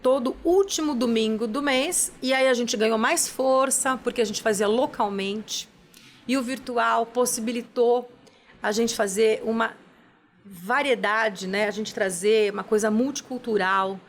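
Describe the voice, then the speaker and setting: A woman's voice: a little high, measured pace, slight background noise. A woman, a charity's executive director, talking at length as a guest in a TV studio interview, tagging her sentences with questions. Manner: enthusiastic, explains at length with many "né?" tags. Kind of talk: casual interview talk.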